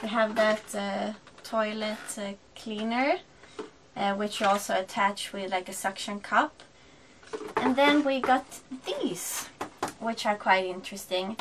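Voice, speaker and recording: Slow-talking Swedish woman vlogging. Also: mid-range voice, background noise. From Sweden. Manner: unhurried, explaining with many fillers. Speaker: sailing vlogger. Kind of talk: casual vlog chat. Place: shops, dock and wooden boat cabin.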